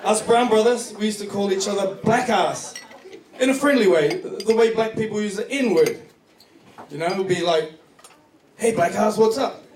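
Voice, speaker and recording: Male stand-up comic, New Zealand accent, high voice, profane storyteller, recorded from a distance in a club. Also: moderate pace. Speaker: stand-up comedian. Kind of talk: stand-up comedy set. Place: comedy club stage before a live audience. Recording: distant echoey club recording, noisy laughing crowd.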